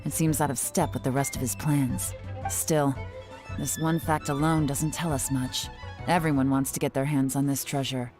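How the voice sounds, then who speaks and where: Cool spy woman, low voice, calm and measured, soft music behind. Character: cool, composed female intelligence agent. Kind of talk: video game voice acting. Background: game music.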